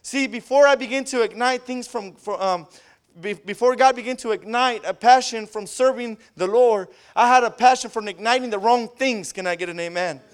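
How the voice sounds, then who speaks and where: Man, pastor, Spanish accent, high voice, smooth and resonant, amen-heavy preaching, fervent swells. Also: moderate pace. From Southern California. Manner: peppers every phrase with amen, rising to loud fervent bursts. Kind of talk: sermon.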